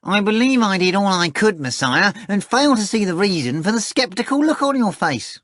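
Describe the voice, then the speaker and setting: Boastful male cat, shrill and breathless, swooping wildly. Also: big pitch swings, moderate pace, a bit bright, dry recording, clean studio sound. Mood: pleading. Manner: shrill, breathless, pleading and theatrical. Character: excitable fast-talking male cat, a boastful rogue.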